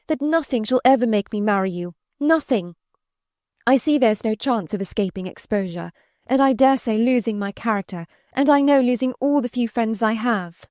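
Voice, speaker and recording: A woman voicing a desperate, high-strung young woman in a storybook reading, pleading and defiant with emphatic exclamations. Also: darkish tone, no room sound, clean studio sound, close to an everyday voice. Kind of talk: dramatised audiobook reading.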